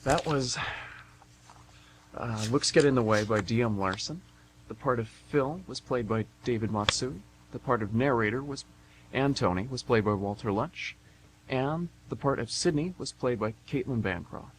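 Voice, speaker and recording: A man's voice: mid-range voice, moderate pace, low-quality recording. A man hosting a radio theatre show, giving casual sign-off announcements. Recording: hissy, humming amateur radio broadcast.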